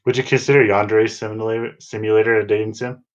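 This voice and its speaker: A man's voice: lowish voice, level tone, fast talker. A man co-hosting a podcast in casual, informal chat. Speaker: podcast co-host. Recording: slightly dull, lo-fi sound.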